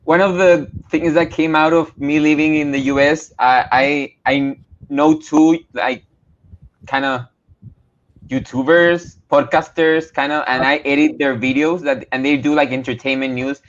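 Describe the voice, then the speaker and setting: A man's voice: fairly high pitch, steady tone, measured pace, dull audio. A man hosting a podcast interview, talking hesitantly and often searching for his words. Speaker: podcast host. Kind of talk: casual interview chat.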